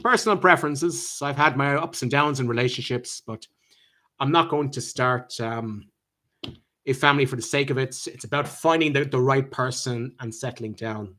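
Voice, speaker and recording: An Irish man hosts a live chat and answers his viewers' comments one by one. He talks at a middling pitch for a man and stops often to restart his sentences with plenty of ums. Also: bright, forceful tone. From Ireland. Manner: halting, with many ums and restarts. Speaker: channel host answering viewer questions.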